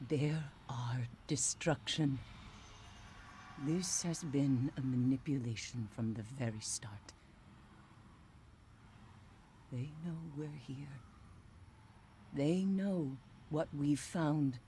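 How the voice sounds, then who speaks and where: An actress playing a grave, haunted warrior-scholar in a video game cutscene, deliberate, foreboding and resolute. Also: female voice, rumbling low, warm tone, slight background noise. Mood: grave warning. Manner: grave, deliberate, dropping toward whispers.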